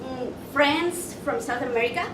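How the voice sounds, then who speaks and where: A woman's voice: high voice, over a noisy crowd. A woman from Ecuador, presenting a motivational workshop and asking her audience questions. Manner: slow and deliberate, asks the audience questions. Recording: echoey room, mic far off, noisy.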